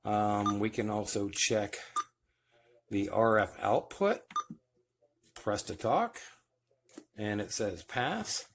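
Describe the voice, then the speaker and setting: A man's voice: lowish voice, expressive, slow pace, pauses often. A man giving a casual, hands-on demonstration as the host of a home video. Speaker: host demonstrating CB radio gear. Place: at home by a radio base station. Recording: clear background noise, clicks.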